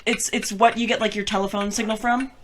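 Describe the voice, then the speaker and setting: A woman's voice: mid-range voice, little pitch movement, brisk, a little hiss or hum. A young adult woman co-hosting a podcast, chatting informally and slangily while playing a word guessing game. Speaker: podcast co-host.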